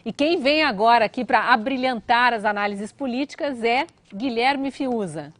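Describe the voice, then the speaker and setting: A woman's voice: fairly high pitch, animated, normal speed, fairly bright tone, no room sound. A Brazilian woman hosting a live political show, putting questions and thanking guests. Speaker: host.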